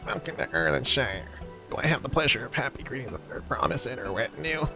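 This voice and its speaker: A man's voice: medium pitch, animated, fast, vocal fry, music in the background. A man voicing a folksy little goblin-like villager from a video game, with garbled, oddly phrased speech full of doubled words. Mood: earnest, troubled. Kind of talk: reading game dialogue aloud in character.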